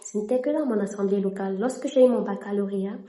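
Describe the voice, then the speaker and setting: Echoey, mellow-voiced Cameroonian woman vlogger. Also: mid-range voice, quick pace. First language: French. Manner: switches into English mid-sentence. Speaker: vlogger and interpreter. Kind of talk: casual storytelling to camera. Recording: echoey room.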